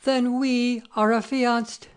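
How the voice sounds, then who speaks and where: Romantic young nobleman a woman acts him, unhurried, grave and grandly formal, for storybook drama. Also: a little high, level tone, clean tone. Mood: ardent. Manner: solemn, stately, formal. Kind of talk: dramatic audiobook reading.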